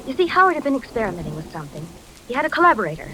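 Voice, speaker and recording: A woman's voice: a little high, sing-song, racing, sharp tone, a little hiss or hum, low-quality recording. An actress playing an earnest, anxious but determined young woman in 1940s radio drama style. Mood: hesitant, troubled. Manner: earnest, hesitant then insistent.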